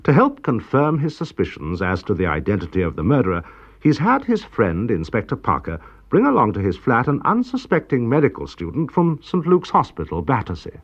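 A husky Englishman delivers scripted narration as a radio drama announcer. His mid-range voice moves widely in pitch. The recording is a little dull. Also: wildly swooping pitch, brisk. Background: faint music.

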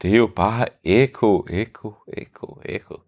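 A man voicing a patient, know-it-all young male companion in a children's story, explaining things slowly. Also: mid-range voice, vocal fry, lo-fi sound, voice pushed up, lightly acted.

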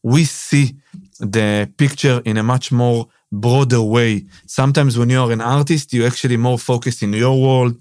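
A man's voice: mid-range voice, fairly even intonation, moderate pace, a little mellow, smooth, no room sound, clean studio sound. Israeli-accented man hosting a podcast. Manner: non-native phrasing, frequent "uh" and "basically". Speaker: podcast host and business owner. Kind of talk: casual monologue.

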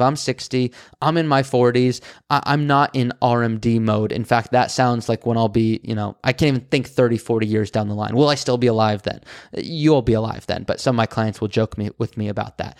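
A man working as a financial planner, explaining retirement tax strategy in a brisk, conversational way and voicing the questions he imagines listeners asking. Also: medium pitch, no echo, studio quality.

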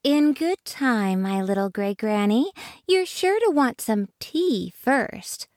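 A woman voicing a clever young girl who speaks sweetly, slowly and knowingly. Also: a little high, animated, darkish tone, no echo, studio quality, pitched above the performer's own voice. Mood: coy.

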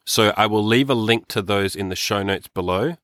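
A man's voice: fairly low pitch, normal speed, a bit bright, dry recording. A man with an Australian accent hosting a podcast, chatting casually with a loose, sweary, filler-heavy way of talking. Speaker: podcast host. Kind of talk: casual chat.

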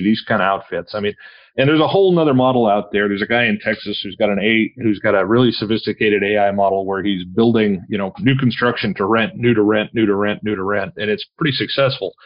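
Male guest, forties, lowish voice, rambles, dull lo-fi audio. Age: 40s. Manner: rambling, many restarts and fillers. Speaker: podcast guest. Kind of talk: casual conversation. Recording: dull lo-fi sound.

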